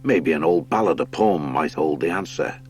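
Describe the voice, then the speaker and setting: Man acting a very deep old badger lord. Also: expressive, a little mellow, soft background music. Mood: grave, musing. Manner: deliberate, commanding, musing. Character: old gruff male badger lord, steady commander. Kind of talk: audiobook character acting.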